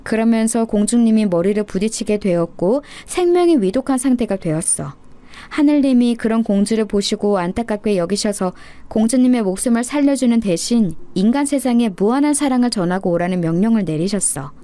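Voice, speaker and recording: A woman voicing a gentle, patient mother who explains things to her child as a fairy tale. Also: fairly high pitch, animated, brisk, natural voice. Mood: tender. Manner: tender, explanatory storytelling to a child.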